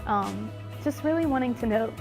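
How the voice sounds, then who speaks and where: A woman teacher with a loud voice, speaking earnestly in an interview about her students. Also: fairly high pitch, animated, normal speed, creaky, music in the background. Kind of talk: interview testimony.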